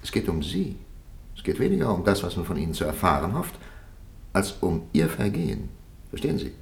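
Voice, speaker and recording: Casting: a probing official for a vintage German radio play, low-pitched, highly expressive melody, formal and admonishing. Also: male voice, gravelly, full character voice. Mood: disappointed, lecturing. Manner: formal, measured, rhetorical questioning. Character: stern, educated male interrogating official, probing and admonishing.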